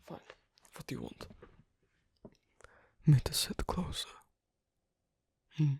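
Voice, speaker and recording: Asocial werewolf played by an ASMR performer, breathy husky half-whisper, slow hesitant delivery, low-key and reticent, intimate roleplay. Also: a little mellow, no room sound, studio quality, full character voice. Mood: guarded, awkward. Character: asocial, reticent young werewolf on a sewing date.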